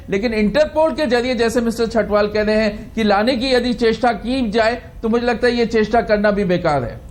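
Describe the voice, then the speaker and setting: A man speaking as an expert guest in a news debate, starting hesitantly and then arguing firmly in a measured way. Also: high-pitched. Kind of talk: spoken analysis in a news debate.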